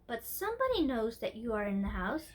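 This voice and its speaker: A woman's voice: fairly high pitch, sing-song, brisk. A woman voicing a curious, chatty little princess girl in a storybook, asking things in an eager, childlike way.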